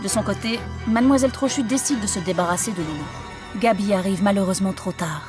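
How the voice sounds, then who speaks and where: A woman's voice: medium pitch, a little fast. A woman reading a scripted story recap as narrator. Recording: music under the narration.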